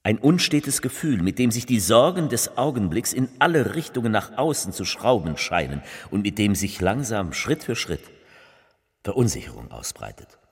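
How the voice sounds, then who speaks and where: A solemn political orator in a stage-like spoken drama, with a husky voice that swings widely in pitch. He declaims deliberately, and the sound rings with long hall reverb. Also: very animated, heavily acted. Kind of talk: theatrical recitation of collaged speeches.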